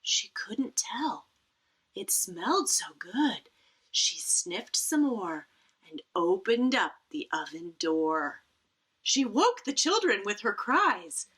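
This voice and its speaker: A woman reads a children's story aloud with lively swings in pitch and dramatic emphasis. She takes her time over the lines, and her bright voice has a breathy, husky edge. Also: takes it slowly. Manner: animated, dramatic emphasis with playful asides. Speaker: teacher reading a picture book aloud.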